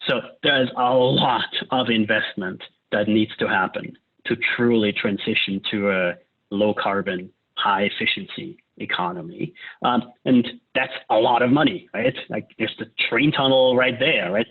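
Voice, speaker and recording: A man from Austria, a climate economist, explaining policy in a loose lecture style, often checking in with "right?". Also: medium pitch. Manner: casual, frequent "uh" and "right?" tags. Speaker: climate economist leading a discussion. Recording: tinny phone-like line.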